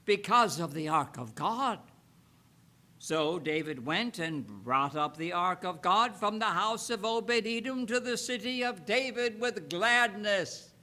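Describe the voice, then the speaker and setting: A man with a high, bright and forceful voice reads from the Bible aloud. His delivery is slow and solemn, with emphatic phrasing broken by frequent pauses. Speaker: Bible reader. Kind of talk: solemn scripture reading aloud.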